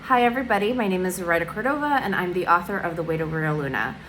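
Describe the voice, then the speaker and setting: Woman, children's author, upbeat book pitch, low voice, faint background noise. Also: animated, fast, a bit bright, low-quality recording. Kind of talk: promoting her book to the camera.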